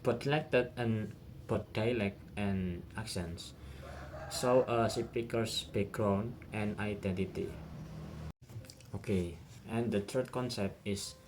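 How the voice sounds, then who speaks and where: A young man with an Indonesian accent reviews a linguistics topic, pausing often with "uh" and "nah" fillers. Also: fairly low pitch, background noise. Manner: hesitant, many "uh" and "nah" fillers.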